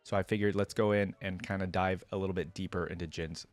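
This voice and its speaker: A man's voice: low voice, fairly even intonation, fast, faint music behind. A man hosting a reaction video, informal in manner, moving between reading a story aloud and casual commentary.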